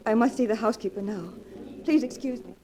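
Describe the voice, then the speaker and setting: A gentle young cousin played by a radio actress, anxious and restrained. Her voice is fairly high but mellow and soft-edged. She speaks earnestly in a 1940s radio melodrama style. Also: quick pace, slight background noise, close to an everyday voice, low-quality recording. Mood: anxious, pleading. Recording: old 1940s radio recording.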